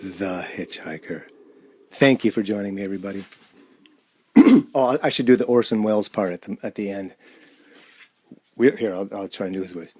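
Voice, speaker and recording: A man's voice: fairly low pitch, normal speed, long pauses, breathy edge. A man chatting casually and informally to his audience as the host and performer. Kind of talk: casual informal chat to viewers.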